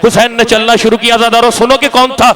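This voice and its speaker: A man's voice: extremely high pitch, level tone, fast talker. A man delivering an impassioned mourning sermon, telling the story in a wailing, declamatory voice and calling out to the listeners. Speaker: zakir reciting a majlis. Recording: live gathering crowd noise. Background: mourning crowd.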